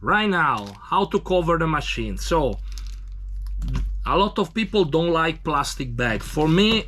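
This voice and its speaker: A man from Italy with an Italian accent, speaking halting, broken English as he gives a casual hands-on demonstration. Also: medium pitch, fairly bright tone, slight background noise. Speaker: tattoo machine demonstrator.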